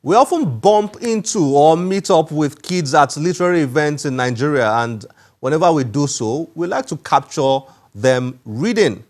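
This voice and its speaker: A man presenting a book programme on television, reading scripted narration in a measured, formal way. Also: fairly high pitch, animated. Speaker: TV book show host.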